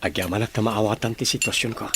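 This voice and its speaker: A man's voice: on the low side, fairly even intonation, brisk, noisy background, natural voice. An actor playing an earnest, polite young man with an Ilocano accent in a radio drama. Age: young adult. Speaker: grandson and boyfriend character.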